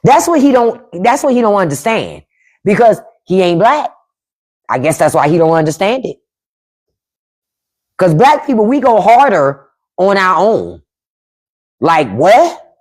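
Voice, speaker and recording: Blunt, profane woman with a lively voice. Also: mid-range voice, measured pace, long pauses. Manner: blunt, profane, emphatic, reads and answers chat. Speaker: podcast host on a live stream. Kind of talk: casual livestream commentary.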